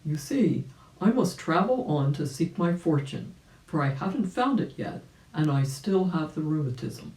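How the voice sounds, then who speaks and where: A woman voicing an expressive old gentleman rabbit, echoey room. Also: deep voice, moderate pace. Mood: regretful, polite. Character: old gentleman rabbit, rheumatic, mild and determined traveler. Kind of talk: children's storybook character reading. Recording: roomy, echoing sound.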